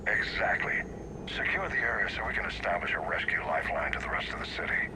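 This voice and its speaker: Stern military commander, low and authoritative, sci-fi game briefing over heavy aircraft noise. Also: male voice, animated. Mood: grave, businesslike. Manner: measured, formal, authoritative. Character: stern older male military commander giving a mission briefing. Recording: loud engine and radio noise behind.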